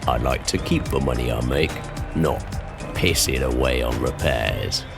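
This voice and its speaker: A man voicing a gruff, brutish male pig-man who runs an arena, talking in coarse, mocking slang. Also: very deep voice, a little slow, exaggerated voice. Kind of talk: audio drama roleplay. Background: background music.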